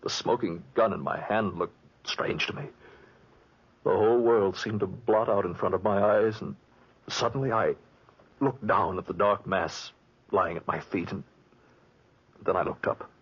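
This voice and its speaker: An actor playing an anguished man haunted by killing his friend, with halting dramatic delivery in a 1940s radio drama. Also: fairly low pitch, slightly rough. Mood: haunted, horrified. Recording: muffled old 1940s radio recording.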